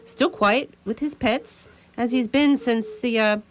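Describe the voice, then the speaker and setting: A woman acts a folksy, deferential asylum attendant in a radio play. The voice is fairly high, with a hesitant, colloquial way of talking. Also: a little slow, close to an everyday voice. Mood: folksy, sympathetic. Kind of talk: radio drama acting.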